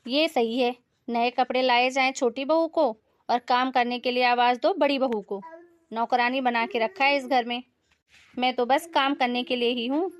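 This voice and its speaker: A woman voicing a resentful, outspoken elder daughter-in-law who complains confrontationally in an animated family story. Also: high voice, moderate pace, close to an everyday voice. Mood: bitter.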